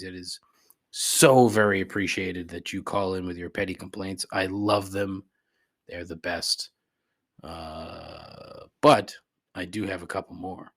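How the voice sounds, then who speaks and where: Low-voiced man griping casually. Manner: profane, griping, conversational. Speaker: podcast host. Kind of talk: casual rambling solo commentary, answering voicemails.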